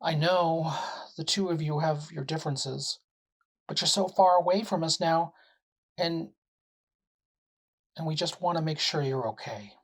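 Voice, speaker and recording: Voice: mid-range voice, measured pace, long pauses. An actor playing a weary, concerned middle-aged father leaving a pleading phone message in an audio drama.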